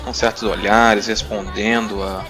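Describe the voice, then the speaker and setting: Man, low voice, game master narration, improvised roleplaying scene, music underneath. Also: flat delivery, measured pace, a crowd in the background. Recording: clear music bed behind.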